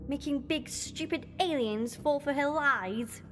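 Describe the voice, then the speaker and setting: A woman's voice: high voice, a little slow, a bit bright, slight background noise. A woman playing a plucky, sceptical young captive in an audio drama, with a cheeky, incredulous manner.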